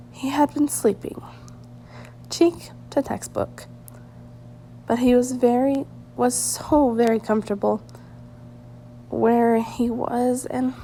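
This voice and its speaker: A woman reading fiction aloud as a narrator, halting now and then as she stumbles and repeats phrases. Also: on the high side, mellow, slight background noise. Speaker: fan fiction reader. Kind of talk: storybook-style narration read aloud.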